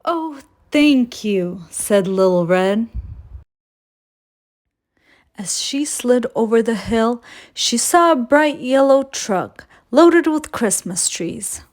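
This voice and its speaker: A woman reading a storybook aloud for children with deliberate, dramatic phrasing. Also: fairly high pitch, expressive. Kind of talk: expressive storybook read-aloud.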